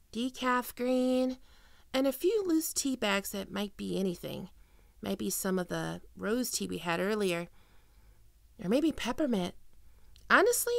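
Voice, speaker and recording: A young woman playing a high-energy, teasing fox-woman librarian in an expressive roleplay performance addressed to the listener. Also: gravelly, no echo, studio quality, exaggerated voice. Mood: friendly teasing.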